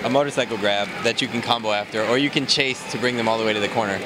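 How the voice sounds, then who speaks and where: A man casually walking through a game character's moves, explaining in a relaxed way with many fillers, recorded at a noisy event. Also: fairly low pitch, quick pace. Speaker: game developer demoing a character. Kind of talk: casual gameplay walkthrough. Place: convention floor, noisy.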